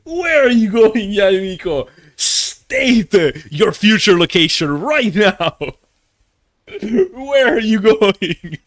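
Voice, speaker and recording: A man's voice: high-pitched, big pitch swings, takes it slowly, a bit bright. A young man livestreaming a game, chatting casually with viewers in a slangy, profane, jokey way. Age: young adult. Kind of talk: casual stream chat and game reactions.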